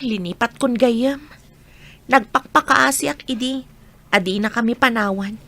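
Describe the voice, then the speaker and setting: High-voiced Ilocano mother acted by a woman. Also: a little slow, fairly bright tone. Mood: wistful. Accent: Ilocano, northern Philippines. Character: hard-working, generous mother of young children. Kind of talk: Ilocano radio drama acting.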